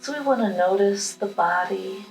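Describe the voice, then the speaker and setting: A woman leading a guided meditation with affirmations, speaking in a calm, unhurried, instructional manner. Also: medium pitch, creaky. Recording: roomy echo with music behind.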